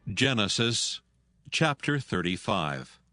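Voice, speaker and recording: A man reading scripted scripture narration in a measured, solemn manner. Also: fairly low pitch, very animated, sharp tone, no echo, clean studio sound.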